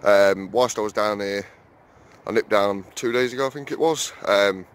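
Rambling low-voiced man vlogging his allotment. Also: moderate pace, clean tone. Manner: rambling, chatty, many fillers, keeps calling viewers guys. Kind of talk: casual walk-and-talk vlog. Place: outdoor allotment, then small garden shed.

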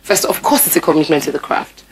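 A woman's voice: sits low, quick pace, slight background noise. A Nigerian-accented woman in her thirties speaking as an interview guest, emphatic and repeating words to drive points home. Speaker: guest, actor and theatre producer. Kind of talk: broadcast interview conversation. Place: indoor TV studio. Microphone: lapel mic.

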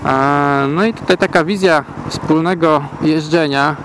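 Man talking over loud motorcycle noise. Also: on the high side, a little slow. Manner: relaxed, rambling, many hesitation sounds. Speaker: motovlogger. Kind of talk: casual talk while riding. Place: riding a motorcycle through city traffic outdoors. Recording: loud engine and wind noise. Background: motorcycle engine and traffic.

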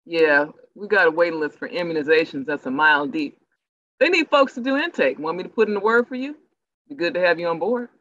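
A man plays a fired-up activist preacher in a stage drama. His voice is high and sharp, and the audio is dry and a little dull. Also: lively intonation, fairly quick, dry recording. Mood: persuasive. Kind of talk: staged play reading over video call.

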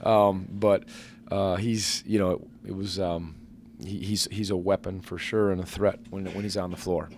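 A man in his forties, a basketball head coach answering questions at a postgame press conference into a table microphone, measured and earnest with many fillers and restarts. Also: low-pitched, level tone, a little mellow, slight background noise. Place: press conference room with sponsor backdrop.

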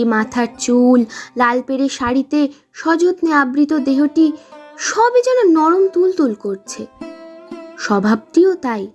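High-voiced woman narrating a story. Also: darkish tone. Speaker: storyteller reading a short story aloud. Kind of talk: scripted literary narration.

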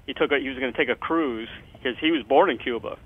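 A man hosts a radio interview in a mid-pitched, casual voice, dropping in quick agreements while his guest talks. His audio is narrow and thin, like a phone line. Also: husky. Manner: frequent short backchannels, friendly and casual. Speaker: radio show host. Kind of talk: interview hosting.

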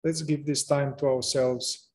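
A man leading a guided meditation, speaking gently in short phrases with long pauses between them. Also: mid-range voice, steady tone. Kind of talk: slow guided meditation narration.

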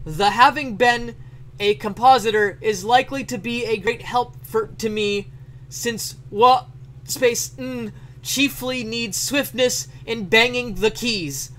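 A man playing an excitable letter writer, yelling out a typed letter in a dramatic, emphatic reading. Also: very high voice, pitched above the performer's own voice, exaggerated voice, rough recording. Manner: shouting, declamatory, emphatic word by word.

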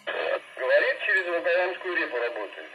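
A man's voice: a little high, sing-song, fairly quick, pushed and bright. Man over a noisy two-way radio. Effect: heard over two-way radio. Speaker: amateur radio operator. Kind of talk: casual ham radio chat. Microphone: radio speaker picked up by phone. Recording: noisy FM radio transceiver speaker.

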